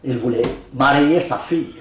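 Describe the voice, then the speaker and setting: A man's voice: medium pitch, normal speed, slight background noise. An older man whose first language is Arabic, chatting in an interview, joking, laughing often and hesitating with many fillers. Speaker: Quran translator being interviewed. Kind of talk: casual interview conversation. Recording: roomy, mic at a distance.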